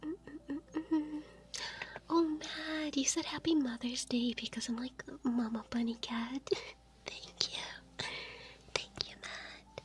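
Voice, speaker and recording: A woman whispering softly on a live stream, chatting with her viewers and giggling through her words. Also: high-pitched, level tone, takes it slowly, slight background noise. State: laughing to tears.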